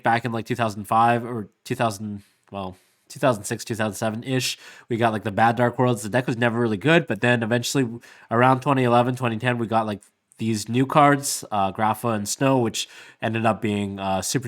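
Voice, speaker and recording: A man rattles through a casual card-game deck walkthrough at a brisk clip, his voice sitting at a middling pitch. He is sick and a little hoarse, sniffling between thoughts. His delivery is upbeat and loose, packed with "like" and "uh" fillers. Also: fairly bright tone. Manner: rambling, many "like" and "uh" fillers, upbeat. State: losing his voice, sniffly from illness. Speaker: YouTube host.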